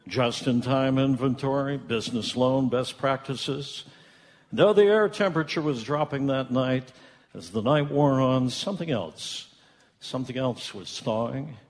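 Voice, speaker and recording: Wry male narrator in a hall. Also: mid-range voice. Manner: measured, wry, theatrical pauses. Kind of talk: scripted tongue-in-cheek storytelling narration. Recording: reverberant hall, live stage.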